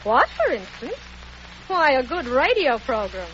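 An actress playing a cheerful receptionist on the phone in a 1940s radio promo, sing-song and upbeat. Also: female voice, high voice, sing-song, unhurried, fairly bright tone, exaggerated voice. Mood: chirpy. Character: chirpy woman receptionist on the phone. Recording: dull 1940s radio broadcast with hiss.

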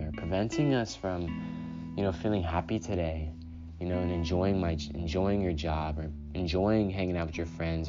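A man hosting a solo self-care podcast, talking in a calm, unhurried way and often saying "you know". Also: low-pitched. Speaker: podcast host. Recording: dull lo-fi sound with guitar music.

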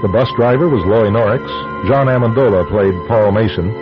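A man's voice: lowish voice, a little fast. A man reading scripted credits and sign-off as a radio drama producer and announcer. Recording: muffled old radio broadcast. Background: loud music.

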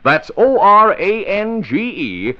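A man's voice: high-pitched, expressive, measured pace. A man announcing and narrating in 1940s radio style, with emphatic, dramatic delivery. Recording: dull vintage radio recording, faint music.

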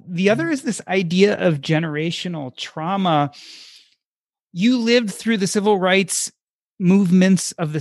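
Man, panel host, probing questions, high voice, dry close sound. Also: no room sound. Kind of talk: moderating a panel.

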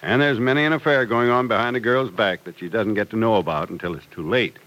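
A man's voice: fairly low pitch, fast talker, fairly bright tone, a little hiss or hum, lightly acted, low-quality recording. An American actor playing a wry, stubborn, suspicious man of about sixty in an old-time radio serial, with folksy phrasing. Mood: foreboding. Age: older. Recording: vintage radio recording.

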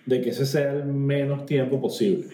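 A man's voice: medium pitch, a little fast, warm tone, a little hiss or hum. A man with a Venezuelan accent talking casually and informally, as a friend on a phone call. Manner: informal, slangy.

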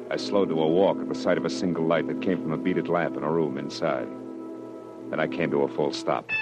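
An actor playing a tough, wry private detective, sardonic and clipped, in 1940s hardboiled radio drama style. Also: male voice, low voice, natural voice. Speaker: lead actor and narrator.

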